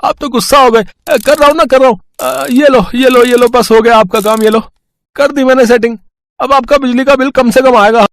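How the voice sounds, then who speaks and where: A man's voice: extremely high pitch, steady tone, brisk. A man voicing a sly, wheedling young electrician in an audio story, eager, boastful and cajoling. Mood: flustered, placating. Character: sly, wheedling electrician lad taking bribes.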